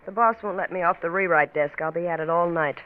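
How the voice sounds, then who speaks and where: A woman playing a brisk, blunt, worldly newspaperwoman in 1940s radio drama. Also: lowish voice, natural voice. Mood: brisk, knowing. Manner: blunt, wry, knowing. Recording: very muffled old radio recording.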